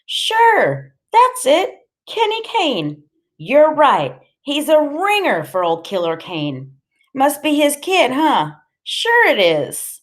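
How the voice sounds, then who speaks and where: A woman's voice: on the high side, very animated, unhurried, pitched above the performer's own voice. A woman voicing a menacing male biker gang boss in an audiobook story, taunting and commanding in clipped phrases. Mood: pleased recognition.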